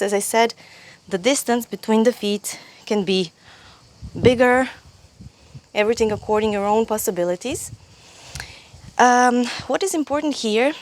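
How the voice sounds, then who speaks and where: A young woman from Croatia with a Croatian accent, teaching a yoga pose step by step outdoors on a lapel mic, patient and careful, often restarting phrases. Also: fairly high pitch. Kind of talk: yoga tutorial instruction. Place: sunny outdoor stone terrace. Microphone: clip-on lapel mic.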